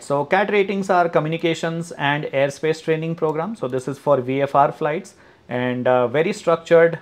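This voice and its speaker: A man narrates a flight-sim tutorial over a screen recording. His voice sits at an ordinary middle pitch, and he explains things steadily and plainly, pausing now and then on a filler. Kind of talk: screen-recorded website walkthrough.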